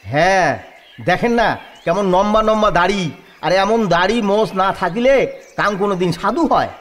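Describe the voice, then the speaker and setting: A man's voice: high-pitched, lively intonation, normal speed, fairly bright tone. A man playing a grumbling rustic villager in an audio drama, talking colloquially with a North Bengal Rajbanshi accent. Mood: curious, agreeable. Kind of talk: rural audio drama acting.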